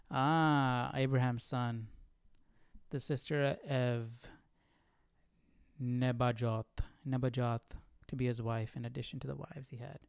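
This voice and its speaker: A man with a smooth, mid-pitched voice comments casually as a livestream host. He is halting as he thinks aloud, in a dry, close sound. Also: no echo.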